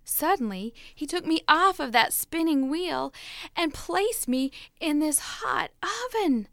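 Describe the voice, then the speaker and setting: A woman's voice: high-pitched, animated, takes it slowly, fairly bright tone, no echo, studio quality, voice pushed up, exaggerated voice. A woman voicing a fragile, dramatic talking teacup that pleads breathlessly as it tells its story.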